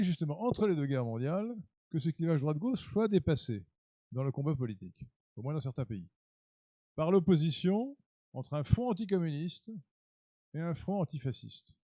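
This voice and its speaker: A man's voice: a little high, mellow, no room sound. A man hosting a political club talk, speaking unscripted in a lecture style, full of fillers and restarts, and growing emphatic. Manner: hesitant, many fillers and restarts, rising to emphatic.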